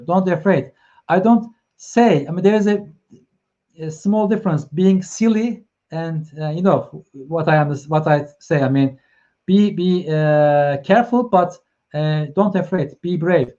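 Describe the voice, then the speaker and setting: A man's voice: sits high, mellow, highs cut off. A man from Turkey with a Turkish accent, hosting a live stream talk in English, hesitant with many fillers and restarts as he encourages his viewers. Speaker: host.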